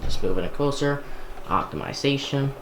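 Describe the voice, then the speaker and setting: A man casually talking through an unboxing and setup as he works, halting and half muttering to himself. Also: mid-range voice, very animated. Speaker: YouTuber unboxing and setting up a radio. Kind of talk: casual unboxing narration while handling items. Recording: echoey room with clear background noise.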